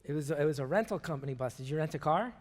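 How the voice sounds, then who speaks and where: An actor playing a chatty, pushy, overfamiliar driver in a comic radio play on stage. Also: male voice, fairly high pitch, expressive, rapid-fire. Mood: chatty, nosy. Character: chatty, oblivious accident driver, eager with joke advice. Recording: echoey theatre hall, noisy live stage.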